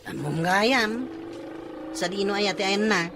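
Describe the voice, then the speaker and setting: A man's voice: high-pitched, measured pace, background noise. A man with an Ilocano accent playing a nosy villager who keeps asking questions in a comic drama. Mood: curious.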